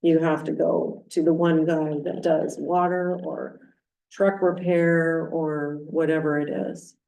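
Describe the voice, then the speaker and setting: A woman mayor leads a local council meeting and explains costs in plain, everyday terms. Her voice is lowish and mellow. She sounds distant, caught by a room mic in an echoey chamber. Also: little pitch movement, slow, vocal fry. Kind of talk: chairing a public meeting.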